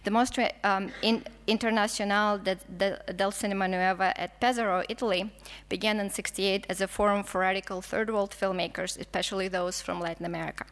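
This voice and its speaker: A woman's voice: mid-range voice, fairly even intonation, a bit bright. Russian-accented woman giving a hall lecture. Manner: halting, frequent restarts and fillers. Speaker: film historian lecturer. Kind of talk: academic conference talk, read from notes.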